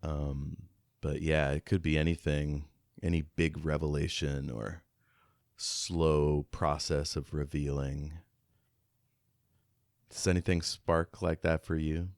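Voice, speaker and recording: A man with an extremely low, resonant voice talks casually as a podcast co-host. The sound is close and dry, and his phrasing is reflective, feeling its way through each thought. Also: little pitch movement, takes it slowly, darkish tone, no echo. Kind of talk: casual book discussion.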